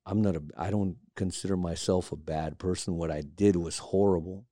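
A man from Alaska, a carver and studio owner, speaking reflectively in a documentary interview, pausing often with fillers. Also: sits low, fairly even intonation, mellow, no echo. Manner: reflective, halting with many fillers.

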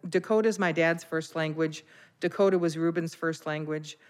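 A person being interviewed as a heritage language learner, speaking in an articulate, measured way. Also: medium pitch, fairly even intonation. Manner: articulate, measured, argues a point. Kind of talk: interview talk.

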